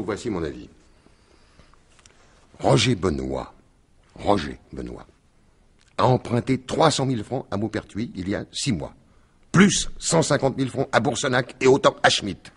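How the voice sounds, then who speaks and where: Shrewd detective, husky, very animated intonation, emphatic, theatrical, 1950s-style French radio mystery. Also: male voice, medium pitch. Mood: triumphant, accusing. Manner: emphatic, theatrical, deliberate explaining with repeated insistence. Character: shrewd, insistent male detective laying out his theory.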